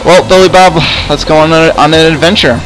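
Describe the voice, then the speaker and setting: Male gaming host, slightly high voice, hesitant improvised roleplay, repeats himself, music behind. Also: brisk, fairly bright tone, low-quality recording. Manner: hesitant, stammering, repeats phrases. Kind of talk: improvised in-game roleplay chat. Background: background music.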